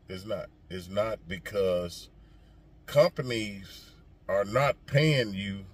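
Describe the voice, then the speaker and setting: A man's voice: mid-range voice, a bit bright. A man who drives trucks, talking casually to viewers and giving his opinion in a folksy, emphatic, repetitive way, with noisy ambience behind him.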